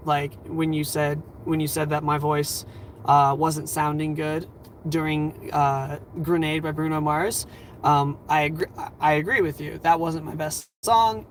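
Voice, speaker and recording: A man in his twenties hosting a livestream, giving earnest advice in a rambling, halting way full of fillers, his voice a little scratchy and tired. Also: on the high side. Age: 20s. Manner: earnest, reassuring. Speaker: livestream host.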